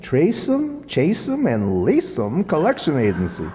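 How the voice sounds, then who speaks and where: An actor playing a folksy, henpecked, slow-witted country man with a hesitant drawl in an old-time radio comedy. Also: fairly high pitch, wildly swooping pitch, dark tone, a little hiss or hum. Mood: pleased, folksy. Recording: reverberant hall stage performance.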